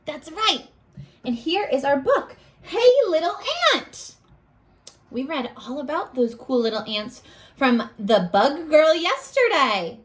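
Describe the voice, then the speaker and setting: A woman teacher leading a read-aloud lesson for young children in an animated way, asking questions and pausing for answers. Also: high-pitched. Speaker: primary school teacher.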